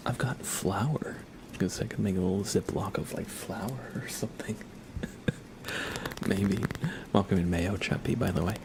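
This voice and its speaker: A soft-spoken man whispering gently and politely in a casual ASMR livestream, chatting with viewers and reading cards. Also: sits low, a little slow, long pauses, noisy background.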